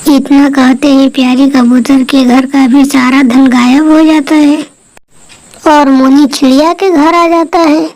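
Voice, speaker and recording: A woman narrator reads a scripted story for children. Her voice is notably high and her pace is slow. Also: steady tone, darkish tone, no room sound, low-quality recording.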